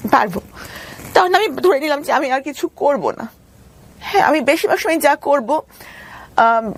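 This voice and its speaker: A Bangladeshi woman telling her own story as an interview guest, earnest and explanatory. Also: high voice.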